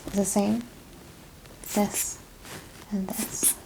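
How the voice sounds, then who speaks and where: Woman, medium-pitched with vocal fry, soft clinical ASMR delivery that slips into whispers, noisy background. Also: level tone, very slow. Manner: soft-spoken, often dropping to whispers, instructing step by step. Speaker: ASMR performer playing a medical examiner. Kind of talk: ASMR clinical exam roleplay. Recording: clear background noise and ambience.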